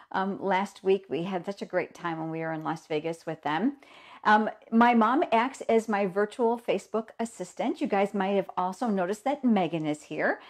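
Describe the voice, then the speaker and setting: A woman's voice: medium pitch, a little mellow. A woman with a cold hosts a casual live stream, chatting along, greeting viewers by name, her voice a little froggy. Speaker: host of a live stamping show.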